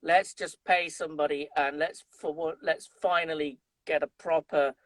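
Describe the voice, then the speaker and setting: A man's voice: a little high, steady tone, moderate pace, a bit bright, no echo. A man in his fifties with an English Midlands accent, chatting as an interview guest and telling stories with lots of fillers and restarts. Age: 50s. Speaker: guest trumpet player. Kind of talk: conversational interview.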